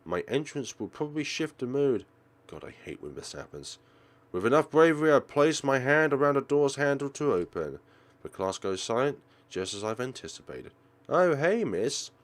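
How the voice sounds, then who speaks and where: A man narrating a visual novel aloud in an expressive, theatrical way, as a let's play host. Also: medium pitch, normal speed. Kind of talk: dramatic read-aloud narration.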